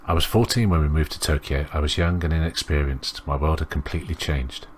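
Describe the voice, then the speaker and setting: A man reading aloud the visual novel narration of a reflective teenage girl detective, in a subdued, measured way. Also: rumbling low, steady tone, a little hiss or hum. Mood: quiet, wistful.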